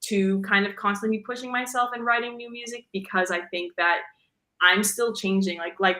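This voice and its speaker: A young woman singer with a voice deep for a woman, chatting casually in an interview and filling her talk with "like" and "um.". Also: fairly even intonation, moderate pace, pushed and bright, reverberant. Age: young adult. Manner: chatty, fills with "like" and "um", laughs easily. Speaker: guest singer.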